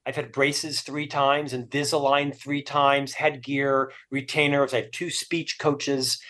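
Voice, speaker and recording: A middle-aged man with a stutter talks as an interview guest, upbeat and quick to poke fun at himself. His voice sits a bit higher than most men's. He sounds like an author and speaker at ease in conversation. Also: fairly bright tone. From Florida, USA. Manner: upbeat, self-deprecating humor.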